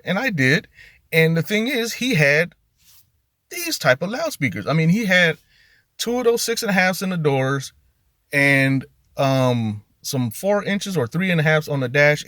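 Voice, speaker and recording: A man's voice: fairly high pitch, animated, moderate pace, fairly bright tone, no echo, clean studio sound. A middle-aged man hosting a car audio video in a casual, conversational rant, leaning on key words for emphasis.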